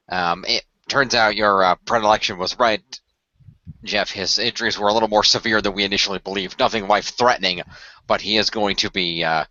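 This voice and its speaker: Man playing a measured, bright-voiced team mentor. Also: lowish voice, no room sound, voice pushed down. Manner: measured, hesitant, diplomatic phrasing. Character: calm, formal male mentor directing a secret hero team. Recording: dry, dull lo-fi voice track.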